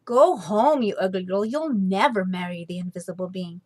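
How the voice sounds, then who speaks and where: A woman's voice: mid-range voice, moderate pace, darkish tone, no room sound, natural voice. A woman voicing mocking villagers in a storybook, jeering with a scornful, taunting manner.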